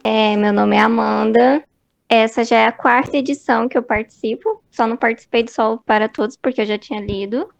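A woman's voice: fairly high pitch, fairly even intonation, fairly quick, gravelly, no echo, muffled recording. A young woman with a Brazilian accent, a student casually introducing herself to a group. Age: young adult. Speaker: book club member, student.

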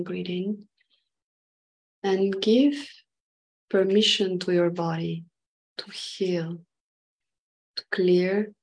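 A woman leading an unscripted guided meditation in short halting phrases, with a breathy voice that sometimes nears a whisper. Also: fairly low pitch, darkish tone, poor audio.

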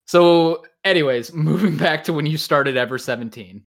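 A man's voice: fairly high pitch, normal speed. A man hosting a podcast, chatting casually and informally as he interviews a guest, often thinking aloud. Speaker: podcast host.

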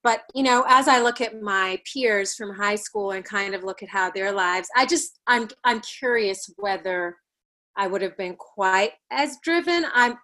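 A woman's voice: medium pitch, measured pace, pushed and bright. Thoughtful woman guest with frequent ums. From Ghana. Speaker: plastic surgeon guest. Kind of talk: interview, life story.

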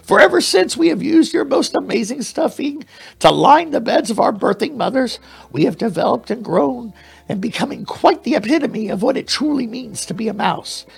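A man voicing a crafty, learned little male mouse who speaks in a squeaky, flowery, grovelling way. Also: lively intonation, normal speed, creaky, husky, exaggerated voice. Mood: pleading. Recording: faint music behind.

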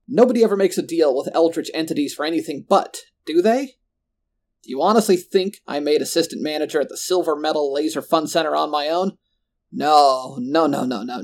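A man's voice: on the high side, darkish tone. A man voicing a menacing, gloating, monstrous middle manager in a horror story, with a folksy, pompous, pontificating manner. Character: menacing, gloating, grotesque monstrous male mall assistant manager.

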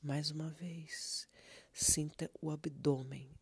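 A meditation guide with a Brazilian accent gives gentle, soothing instructions in a breathy near-whisper, leaving long pauses between prompts. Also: mid-range voice, fairly even intonation, dry recording.

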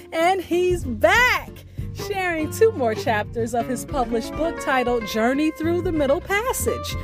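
A woman, the host of a radio storytelling show, delivering scripted announcements. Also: high-pitched. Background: plucked guitar music.

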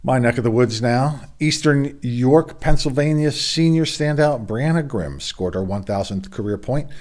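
A middle-aged man with a hoarse voice, hosting a casual sports roundup from a home studio, with rambling, self-deprecating asides. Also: mid-range voice, normal speed, a little mellow. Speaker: sports podcast host.

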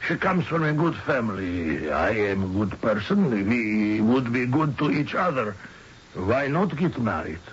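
Slow, hesitant, anxious suitor. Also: male voice, medium pitch, sing-song. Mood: defensive, earnest. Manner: hesitant, halting, apologetic. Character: anxious, meek, earnest male fiancé. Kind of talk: old-time radio drama acting. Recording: dull, hissy vintage radio recording.

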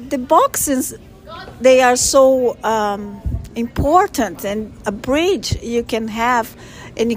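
A woman from Brazil with a Brazilian Portuguese accent, a church volunteer coordinator answering interview questions in an earnest, halting way. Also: a little high, slight background noise, poor audio. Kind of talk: podcast interview.

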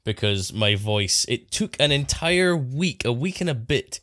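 English male co-host, animated, wry storyteller, medium pitch, dry close-miked recording. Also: a little slow, dry recording. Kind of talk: casual podcast chat.

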